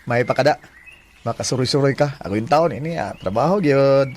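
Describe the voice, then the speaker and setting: An actor with a Cebuano Filipino accent playing a friendly, easygoing, teasing co-worker in a Bisaya radio drama. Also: male voice, medium pitch, animated, a little fast, a little mellow, natural voice. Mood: cheerful, flirty.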